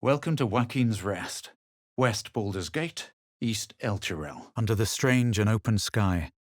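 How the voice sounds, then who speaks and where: A man playing a proud, haughty adventurer in a fantasy video game, speaking in a measured, dramatic, superior way. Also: fairly low pitch, animated, dry recording, clean studio sound. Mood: grave. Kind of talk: fantasy video game voice lines, narration and incantations.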